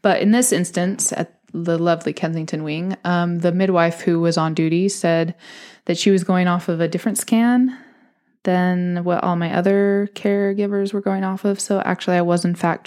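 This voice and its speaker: A woman's voice: on the low side, darkish tone. Chatty young woman telling a candid story. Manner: candid, chatty, laughs often, mimics voices in quotes. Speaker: podcast host telling her birth story. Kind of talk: casual personal storytelling.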